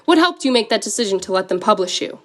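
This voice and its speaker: An actress playing a polished, probing broadcast interviewer in an audio drama, polite and professional. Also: female voice, mid-range voice, very animated, fast, a bit bright.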